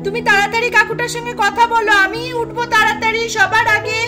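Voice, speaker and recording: An excitable little girl from a village doll cartoon acted by a woman. Her voice is extremely high, with insistent singsong calls. Music plays clearly behind her. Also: quick pace. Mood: excited. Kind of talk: amateur doll-story voice acting.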